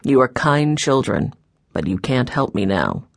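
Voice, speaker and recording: Woman voicing a low, weary old grandfather. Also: very animated, measured pace, vocal fry, no echo, clean studio sound, heavily acted. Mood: firm, weary. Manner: tired, sad, subdued. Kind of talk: children's audiobook character voice.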